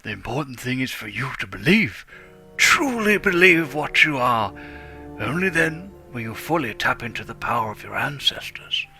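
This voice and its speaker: A dignified old dragon mentor, as a man plays him, solemn and measured. The voice is very bright and forward, with a lot of movement in pitch. Faint music sits underneath. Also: very animated, heavily acted. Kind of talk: fan dramatic reading.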